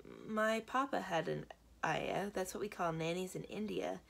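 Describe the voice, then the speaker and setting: A woman voicing a friendly, tactful schoolboy in a storybook, speaking frankly and casually to smooth things over. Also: on the low side, measured pace, fairly bright tone, heavily acted. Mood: chatty.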